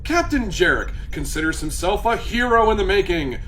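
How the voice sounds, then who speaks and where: A man's voice: high voice, big pitch swings, normal speed, a bit bright, slight background noise. A man narrating a scripted comedy story in a wry, theatrical way.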